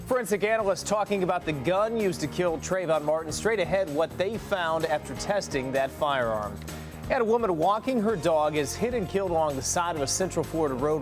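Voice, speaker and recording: A man's voice: on the high side, lively intonation, a little fast, over music. An American man anchoring TV morning news in a scripted news-reading style.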